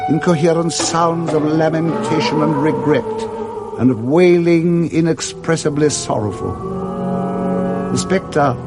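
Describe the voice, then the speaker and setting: A man narrating a classic story for 1960s radio in a measured, theatrical storytelling manner. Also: fairly high pitch. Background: music.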